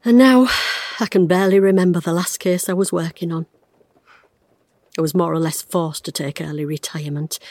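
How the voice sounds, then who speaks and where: A woman's voice: fairly low pitch, fairly even intonation, normal speed, a little mellow, no room sound. An actress, playing a wry, blunt retired woman detective in an audio drama, recorded close and dry. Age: 50s. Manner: wry, blunt, self-mocking, fraying under strain.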